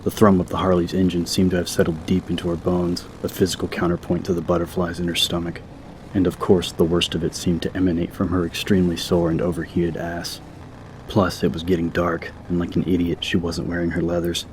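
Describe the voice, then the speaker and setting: A man's voice: low-pitched, monotone, fairly quick, darkish tone, slight background noise, low-quality recording. A man narrating an audiobook from a script in a dramatic story style.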